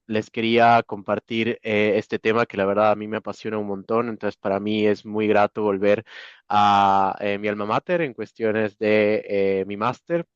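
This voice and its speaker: Low, smooth Ecuadorian man, slightly dull audio. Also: no echo. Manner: halting, many hesitations. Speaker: guest speaker, human resources professional. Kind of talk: informal webinar presentation. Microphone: video call. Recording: dry, slightly dull online-call audio.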